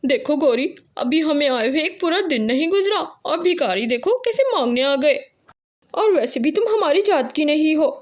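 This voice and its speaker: A woman voicing a rude, selfish, scornful male crow with a quick temper in a cartoon. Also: high voice, voice pushed up. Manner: scornful, complaining. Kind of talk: Hindi cartoon character acting.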